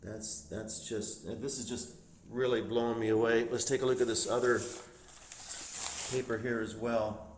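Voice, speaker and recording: A man's voice: medium pitch, fairly even intonation, moderate pace. A man giving a casual, off-the-cuff walk-through as an explorer vlogger, pointing things out to viewers with open amazement. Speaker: urban explorer vlogger, host. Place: inside empty rooms of an abandoned house. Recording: roomy echo, light background noise.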